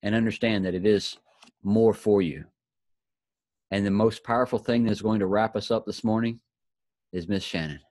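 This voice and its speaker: Low-voiced man hosting a motivational group. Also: fairly even intonation, normal speed, pauses often, darkish tone, no room sound. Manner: folksy, encouraging. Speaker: host of a daily inspirational group.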